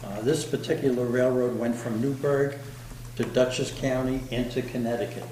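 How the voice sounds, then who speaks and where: A man from the local historical society presenting to a town board in a meeting room, speaking loud without a microphone. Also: medium pitch, steady tone, normal speed. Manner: loud, unamplified voice. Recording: echoey room with background noise.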